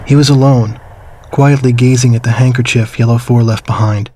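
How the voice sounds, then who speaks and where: Game story narrator, played by a man: reflective man looking back on war, mid-pitched, flat and restrained. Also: lightly acted. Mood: somber, reflective. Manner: somber, measured, reflective. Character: man somberly recalling his wartime childhood. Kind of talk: scripted first-person story narration.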